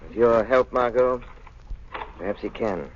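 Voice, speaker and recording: An actor playing a cultured, analytical gentleman detective in a 1930s radio drama. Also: mid-range voice, measured pace, lightly acted. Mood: thoughtful, grave. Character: suave, analytical gentleman sleuth, calm and cultured. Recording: dull, hissy 1930s radio recording.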